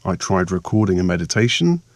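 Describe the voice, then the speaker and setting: A man with a hoarse, croaky voice from a sore throat, narrating his vlog in a chatty, rambling, self-mocking way. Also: low voice, big pitch swings, a little fast, dry recording, clean studio sound. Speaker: vlogger and meditation maker.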